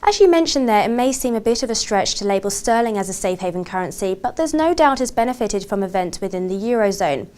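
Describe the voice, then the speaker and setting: Woman, TV journalist, formal articulate delivery, scripted interview questions, average pitch. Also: expressive, fast, hardly any pauses, a little mellow.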